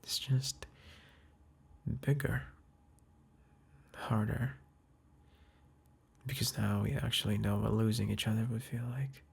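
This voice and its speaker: A man with a husky, breathy voice speaking softly and hesitantly into a close mic, whispering a tender apology as a boyfriend to the listener. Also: on the low side, fairly even intonation, a little slow, pauses often. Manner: soft, hesitant, tender, with sighs and restarts. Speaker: boyfriend in a roleplay audio. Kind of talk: intimate apology roleplay to the listener, ASMR-like.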